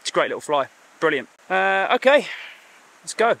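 Excitable New Zealand man by a river. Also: high-pitched, moderate pace, poor audio. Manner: enthusiastic, exclaims often. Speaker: fly fishing vlogger. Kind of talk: excited outdoor commentary. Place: outdoors by a forest river. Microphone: action camera, chest or handheld. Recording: outdoor river recording with clear background noise. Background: flowing river.

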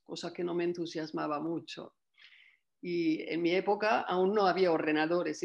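An older woman, French by first language, speaks as a literary translator over a laptop webcam mic from a room at home. Her delivery is calm and reflective, laying out her process one step at a time. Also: lowish voice, gravelly. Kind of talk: video call interview. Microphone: laptop webcam mic on video call.

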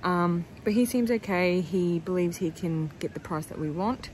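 A woman's voice: on the low side, moderate pace, darkish tone, slight background noise, low-quality recording. An Australian woman in her thirties chatting casually to her phone camera for a farm vlog, rambling with plenty of fillers. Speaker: farm vlogger. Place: outdoors in a backyard by a house. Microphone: phone held at arm's length.

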